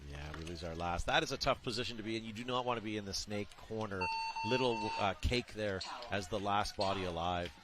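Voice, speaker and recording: A man's voice: lowish voice, a little fast. A man commenting live on a paintball match from a booth, chatty and joking with his co-host.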